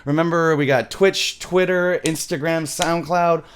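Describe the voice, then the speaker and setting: A middle-aged man presenting a music software tutorial in a casual, loose, thinking-aloud way, recorded on a studio mic in a small home room. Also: a little high, steady tone, measured pace, a little hiss or hum. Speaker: music production tutorial host.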